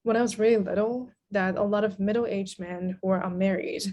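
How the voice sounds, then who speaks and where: A woman from Taiwan with a soft-edged, mellow voice at a middling pitch. She talks casually as a guest on a podcast, discussing a book in a conversational way. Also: steady tone, brisk, lo-fi sound.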